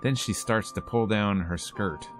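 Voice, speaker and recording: A man's voice: on the low side, measured pace, loud music behind the voice. A man streaming a visual novel, reading the narration aloud and adding casual commentary.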